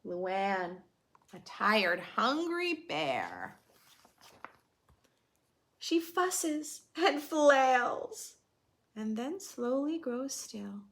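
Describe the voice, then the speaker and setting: A woman reads a picture book to young children like a preschool teacher. Her pitch swings widely and expressively, and she takes her time over each phrase. There is noticeable creak in her voice, and she often turns to the listener with playful questions. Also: big pitch swings, extremely slow, long pauses. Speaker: children's read-aloud teacher. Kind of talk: expressive storybook read-aloud with teaching asides.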